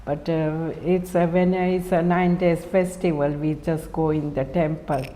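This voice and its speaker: A woman's voice: low-pitched, little pitch movement, unhurried, warm tone. A woman from Kenya telling her life story in an interview, hesitant, with many fillers and restarts. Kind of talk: personal storytelling.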